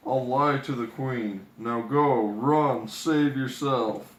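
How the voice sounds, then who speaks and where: A man's voice: medium pitch, slow, reverberant, a little hiss or hum, natural voice, poor audio. A man voicing a remorseful huntsman in a storybook, pleading dramatically and urgently. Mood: urgent warning. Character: remorseful, urgent huntsman, tender toward a princess.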